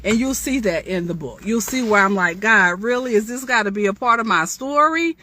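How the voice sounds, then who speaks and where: Bright-voiced woman sharing inspirational storytime. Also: medium pitch, animated, moderate pace, slight background noise. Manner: testifying, preacher-like, addressing listeners directly as honey and dear. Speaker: author reading from own memoir. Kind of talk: inspirational storytime talk, reading aloud with chatty asides.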